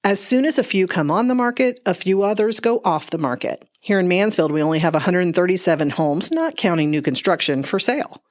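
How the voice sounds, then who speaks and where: Slightly low-voiced woman giving market report. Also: lively intonation, brisk, hardly any pauses, darkish tone. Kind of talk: scripted news segment narration.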